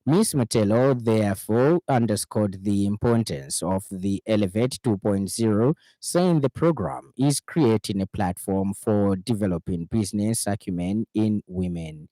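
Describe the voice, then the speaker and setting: A man with a Zambian accent reading a scripted radio news bulletin in a formal, measured way. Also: fairly low pitch, mellow, no echo, poor audio. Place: radio studio.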